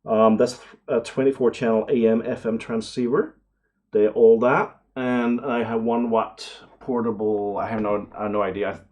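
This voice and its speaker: A middle-aged man talking casually and excitedly as he hosts an unboxing video, rambling along with frequent exclamations. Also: medium pitch, normal speed. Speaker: video host.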